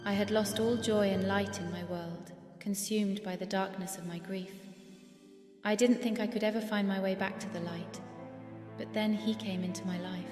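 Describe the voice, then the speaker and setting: A woman's voice: mid-range voice, steady tone, normal speed, close to an everyday voice. A woman as a grieving young singer. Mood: wistful. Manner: soft, wistful confession. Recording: echoey hall with music under.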